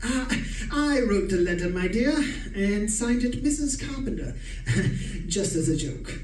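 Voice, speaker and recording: An actor playing a genteel, smug, scheming married professor in a formal old-time radio suspense style. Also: male voice, high-pitched, lively intonation, unhurried, a little mellow, a little hiss or hum. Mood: smug, genial. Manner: formal, chuckling, self-satisfied. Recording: roomy, distant stage recording with music.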